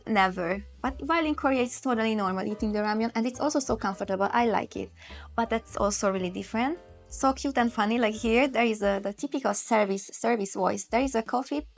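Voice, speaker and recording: A woman's voice: fairly high pitch, vocal fry. Chatty young Hungarian-accented woman. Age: late 20s. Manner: lively, chatty, frequent "like" fillers. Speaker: interviewee. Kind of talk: casual interview chat.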